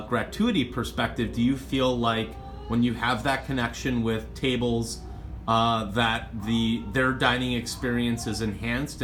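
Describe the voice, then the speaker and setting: A man's voice: mid-range voice, a bit bright. A man interviewing a coworker, asking long questions full of restarts and fillers. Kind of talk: workplace interview.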